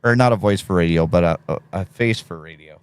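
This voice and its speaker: A man with a deep radio voice hosting a casual live stream, reading chat messages aloud and chatting easily. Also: a little fast, clear, resonant tone. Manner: laid-back, reads chat messages aloud, laughs often.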